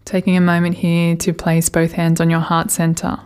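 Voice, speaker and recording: A woman leading a guided meditation, giving gentle, soothing instructions with unhurried pauses. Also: lowish voice, completely flat delivery, clear, resonant tone.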